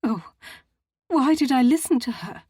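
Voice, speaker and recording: A woman's voice: medium pitch, moderate pace, darkish tone, slightly rough, no room sound, studio quality, voice pushed up, natural voice. A woman voicing a distraught young society woman who speaks in a quaking, tearful voice.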